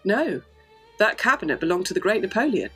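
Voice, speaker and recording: A woman playing an earnest, anxious young gentlewoman in a gothic audio drama. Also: medium pitch, very animated, a little fast, breathy edge, soft background music. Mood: polite, wondering.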